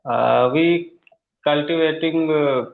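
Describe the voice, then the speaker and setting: A man's voice: fairly high pitch, slow, gravelly. Man, Indian accent, non-native English learner, hesitant, many fillers, tinny phone-like call audio. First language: not English. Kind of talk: online conversation practice.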